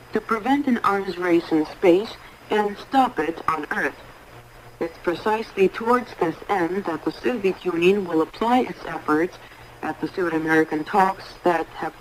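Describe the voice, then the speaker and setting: Female newsreader, lower register, formal and measured, 1980s shortwave broadcast with faint noise. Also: low-quality recording. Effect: shortwave radio. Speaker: radio news announcer. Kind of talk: formal scripted 1980s international radio newsreading.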